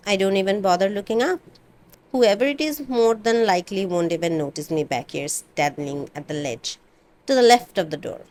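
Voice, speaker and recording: A woman reading a first-person novel aloud in an expressive, dramatic amateur audiobook style. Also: mid-range voice. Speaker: amateur book reader.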